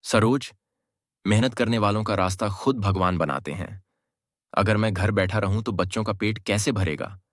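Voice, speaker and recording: A man voicing a hardworking, devout village husband in an animated moral story. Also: lowish voice, normal speed, no room sound, studio quality, natural voice. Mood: resolute.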